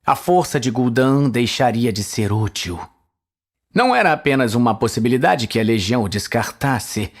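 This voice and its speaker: A man's voice: mid-range voice, lively intonation, moderate pace. A man with a Brazilian accent giving dramatic scripted narration of a fantasy tale. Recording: music behind the voice.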